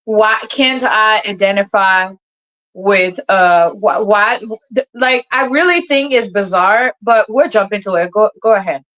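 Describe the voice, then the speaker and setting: A woman from Liberia hosting a casual discussion, often halting and repeating words as she works out her point. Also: mid-range voice, a bit bright, rough recording. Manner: halting, repeats words while searching for phrasing. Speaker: host of an online discussion. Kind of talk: casual debate moderation.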